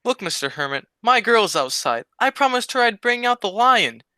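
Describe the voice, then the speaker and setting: A man's voice: high voice, very animated, normal speed, sharp tone, clean tone, heavily acted. A man playing a cowardly, dim but wisecracking sidekick in a vintage-style comedy radio double act. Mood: naive, curious.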